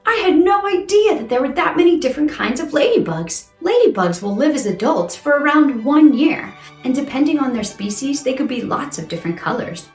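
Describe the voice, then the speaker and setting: A woman presents to young children with a very animated voice that swoops up and down. She sits fairly high in pitch and speaks in a playful, exaggerated read-aloud style. The room rings noticeably around her. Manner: exaggerated, exclamatory, asks questions of young listeners. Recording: roomy echo with music underneath. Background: music.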